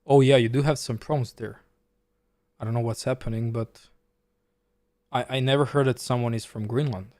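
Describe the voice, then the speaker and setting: Chess streamer, man, Serbian accent, mid pitch, dry close mic, chatty live commentary. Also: steady tone, moderate pace, pauses often, a little mellow, no room sound. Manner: chatty, reads chat aloud and answers while playing.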